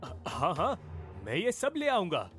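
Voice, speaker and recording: A man playing a lovesick, timid young college student in an animated horror story. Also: high-pitched, measured pace, music in the background, full character voice. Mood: frightened.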